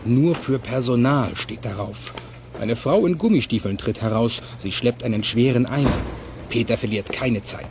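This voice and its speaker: A man's voice: mid-range voice, normal speed, noisy background. A man reading scripted narration for a children's audio play.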